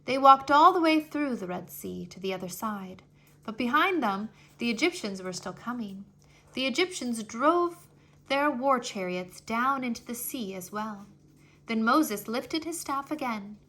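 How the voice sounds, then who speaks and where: A woman reading a children's history story aloud in an expressive, deliberate, scripted storytelling manner. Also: on the high side. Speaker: storybook reader.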